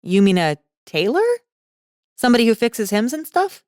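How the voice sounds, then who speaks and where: A woman's voice: a little high, big pitch swings, normal speed, darkish tone, dry recording, clean studio sound, voice pushed up. Woman acting a slangy, skeptical young college girl. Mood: sarcastic, incredulous. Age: young adult. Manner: casual, slangy, hesitant, sarcastic. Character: slangy, wary, awkward nineteen-year-old college girl. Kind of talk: dramatized fantasy audiobook acting.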